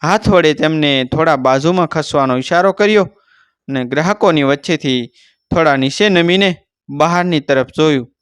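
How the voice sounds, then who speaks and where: High, clear-voiced Gujarati male storyteller. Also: moderate pace, no echo, clean studio sound. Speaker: devotional storyteller. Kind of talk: narrated moral folk tale, oral storytelling.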